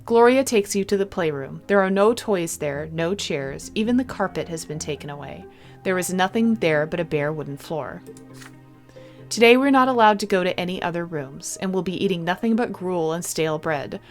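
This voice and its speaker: A woman reading a visual novel aloud in an expressive storybook style, with casual playful commentary. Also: lowish voice, moderate pace. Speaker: video game streamer. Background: game music.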